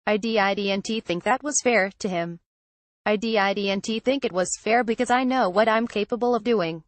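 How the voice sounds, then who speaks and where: A woman's voice: mid-range voice, unhurried, no room sound. A woman's synthetic text-to-speech voice reading a written transcript aloud as a narrator.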